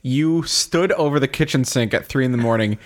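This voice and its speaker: A man's voice: medium pitch, fairly quick. A man co-hosting a casual podcast, congested and coughing, joking and laughing at himself. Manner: joking, self-deprecating, laughs often. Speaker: podcast co-host.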